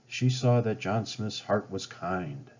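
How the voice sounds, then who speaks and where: A man's voice: on the low side, expressive, slow pace, darkish tone. A man reading a children's story aloud as a storybook narrator. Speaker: storybook reader. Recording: dull lo-fi home recording.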